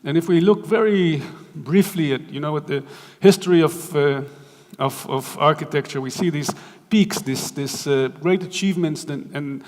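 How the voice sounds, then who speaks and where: A man's voice: on the high side, darkish tone. Israeli man lecturing in a reverberant hall. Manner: halting, many restarts and fillers. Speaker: guest lecturer. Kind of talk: architecture lecture.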